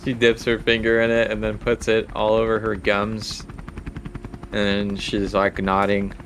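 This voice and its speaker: A man running a tabletop role-playing game, narrating in a casual, halting way. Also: fairly low pitch, monotone, vocal fry, a bit bright, slight background noise. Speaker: game master.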